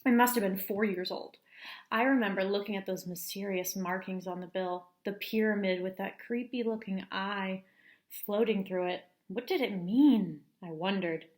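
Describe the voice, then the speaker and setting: A woman's voice: mid-range voice, moderate pace, a little mellow, close to an everyday voice. A woman voicing an eager, money-loving eleven-year-old girl who narrates a storybook earnestly and with dramatic emphasis. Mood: earnest, wistful. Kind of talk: storybook first-person narration.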